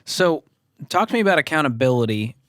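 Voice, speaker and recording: A man's voice: on the high side, sing-song, fairly quick, a bit bright, no echo, studio quality. A man speaking as a podcast host, interviewing in a conversational style.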